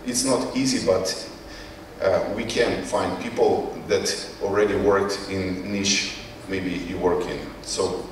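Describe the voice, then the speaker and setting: A man with a Ukrainian accent gives an informal conference presentation in non-native English, haltingly searching for words. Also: low-pitched, steady tone. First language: not English. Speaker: conference speaker, company CEO. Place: conference hall. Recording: echoey hall, distant mic, faint noise.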